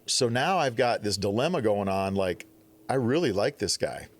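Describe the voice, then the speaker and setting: A man from the Midwest, telling stories on a podcast in a casual, animated way, acting out quotes. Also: lowish voice, moderate pace. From Upper Midwest. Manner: animated storyteller, acts out quotes. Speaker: podcast guest. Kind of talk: casual storytelling.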